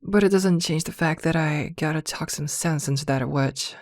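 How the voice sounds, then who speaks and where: A woman playing a possessive, protective witch girlfriend in an intimate ASMR roleplay, with a soft, coaxing murmur. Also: low voice, moderate pace, no room sound, clean studio sound. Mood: protective, vengeful.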